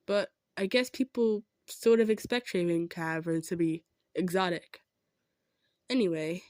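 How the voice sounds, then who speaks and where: A woman's voice: mid-range voice, dry recording, lightly acted. Woman playing a humble, kind centaur, dry close sound. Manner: earnest, hesitant, gentle insisting. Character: kind, humble, self-effacing female centaur. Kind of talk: improvised audio roleplay addressed to the listener.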